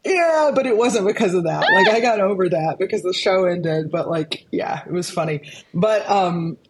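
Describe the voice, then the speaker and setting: A woman from Arkansas with a low speaking voice, telling stories as an interview guest, chatty and laughing often. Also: expressive, moderate pace, heavy background noise. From Arkansas, USA. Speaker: guest composer. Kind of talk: casual conversational storytelling.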